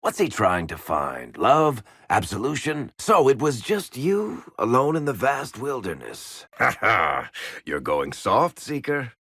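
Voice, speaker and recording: An actor playing a roguish dwarf storyteller in a video game, with a casual, sardonic manner and dry, teasing wit. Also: male voice, medium pitch, big pitch swings, takes it slowly, a bit bright, husky, no echo, heavily acted. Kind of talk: video game character dialogue.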